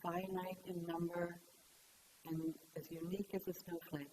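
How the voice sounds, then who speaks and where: A woman's voice: lowish voice, flat delivery, rough recording. Woman guiding meditation, hissy recording. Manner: gentle, unhurried instruction with long pauses. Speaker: meditation teacher. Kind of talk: guided meditation. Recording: clear background hiss.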